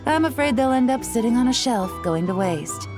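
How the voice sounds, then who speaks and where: A woman's voice: on the high side, expressive, normal speed, over music, natural voice. A woman playing a shrewd government official in a video game, wry, blunt and guarded. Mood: wry, wary. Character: shrewd, dry-witted female government official.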